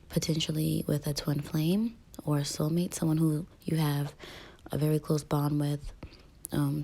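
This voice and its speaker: A woman with a low, gravelly voice talks through a casual tarot reading. She speaks softly, close to a whisper at times, with plenty of ums, and her tone stays level and mellow. A touch of morning roughness from allergies sits in her throat. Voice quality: raspy. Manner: soft, breathy half-whispered delivery with frequent ums. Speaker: tarot reader.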